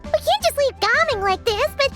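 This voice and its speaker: An extremely high, squeaky little fairy girl from a video game. The voice bounces all over in excitable exclamations. Music plays behind it. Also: moderate pace. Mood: worried. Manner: lively, exclamatory. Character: small, excitable floating fairy companion, childlike girl.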